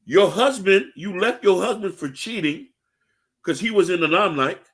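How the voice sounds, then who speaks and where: Male podcast host, fairly high voice, emphatic opinionated delivery aimed straight at listeners. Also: moderate pace, fairly bright tone. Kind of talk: opinionated panel talk with rhetorical questions.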